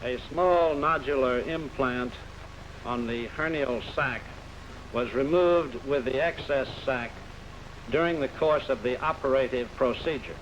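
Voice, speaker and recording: A man with an American accent, a press officer reading out a technical statement in a formal, clinical manner. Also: mid-range voice. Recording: dull 1950s news recording, faint noise.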